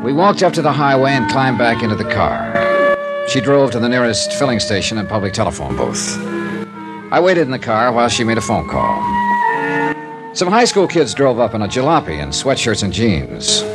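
An American actor playing a blunt, wry, persistent investigator in a 1950s radio detective drama, with a clipped, dry way of questioning. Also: male voice, medium pitch, sing-song, normal speed, loud music behind the voice. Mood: matter-of-fact narration. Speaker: lead actor and first-person narrator. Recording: noisy 1950s radio recording.

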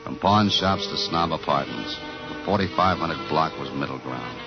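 Very deep-voiced hard-boiled police detective. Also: male voice, normal speed. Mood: brooding narration. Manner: clipped, deadpan questioning. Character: tough, dry, world-weary male police detective narrator. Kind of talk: 1950s radio drama, hard-boiled narration. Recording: dull old 1950s radio recording, music.

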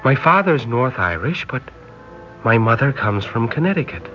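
An actor playing a polite, hesitant, earnest young sailor of nineteen in a 1960s radio drama. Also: male voice, medium pitch, sing-song, moderate pace, faint music behind, lightly acted. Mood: eager, wistful. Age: young adult. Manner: courteous, hesitant, genteel. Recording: dull lo-fi vintage radio recording.

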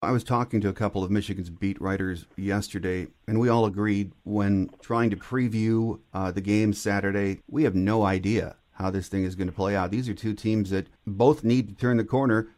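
Guy hosting a sports podcast, fairly low voice, chatty interview style. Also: steady tone, normal speed, hardly any pauses, darkish tone.

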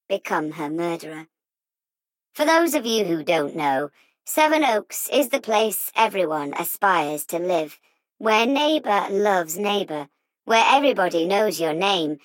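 A woman reading as an insinuating true-crime podcast host, in deliberate, dramatic scripted audiobook narration. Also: medium pitch, no room sound, natural voice, poor audio. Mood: ominous storytelling.

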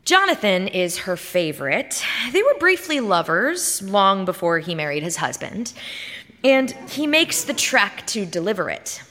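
A woman giving a dramatic, expressive reading of literary fiction, like a performance on stage. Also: mid-range voice, unhurried. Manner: theatrical, expressive delivery. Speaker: short story reader on stage. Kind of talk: dramatic scripted literary narration. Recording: reverberant hall.

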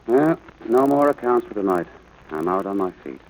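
An actor playing a stern, worn-out rancher father, gruff but caring, in 1940s radio drama style. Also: fairly low pitch, animated, normal speed, a little mellow, lightly acted. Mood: weary, gruff. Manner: gruff, exasperated. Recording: noisy old radio broadcast.

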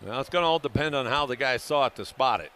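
A man giving live radio play-by-play of a football game, excitable and quick to raise his voice for big plays. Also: medium pitch. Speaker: play-by-play announcer. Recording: noisy live stadium broadcast ambience.

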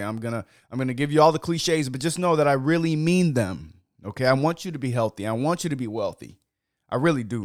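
A young man hosting a casual wine-tasting podcast, hoarse from yelling, talking loosely with lots of fillers and swearing. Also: mid-range voice, no echo, clean studio sound. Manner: loose and chatty, many "like" fillers, swears freely. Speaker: podcast host.

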